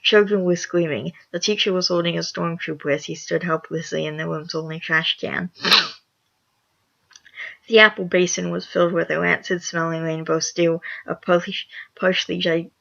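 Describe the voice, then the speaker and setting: A channel host with a raspy, hoarse voice after just waking up, reading online reviews aloud with small stumbles and self-corrections. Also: mid-range voice, flat delivery, normal speed, bright, forceful tone, highs cut off.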